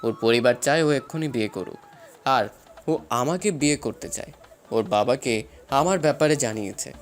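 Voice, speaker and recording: A man's voice: medium pitch, moderate pace, slight background noise, close to an everyday voice. A man playing a protective young elder brother in an audio story. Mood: earnest, resigned.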